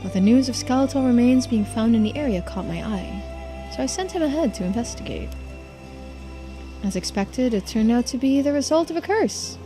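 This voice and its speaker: A woman's voice: fairly high pitch, lively intonation, over music, lightly acted, low-quality recording. Woman voicing a composed, authoritative lady investigator. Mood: pensive. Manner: measured, polite, authoritative. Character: composed, shrewd female police investigator in charge.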